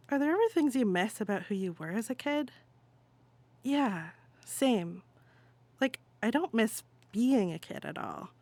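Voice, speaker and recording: A woman's voice: fairly high pitch, darkish tone, dry recording. A woman playing a wistful adult who confides in a cousin in an introspective, informal monologue.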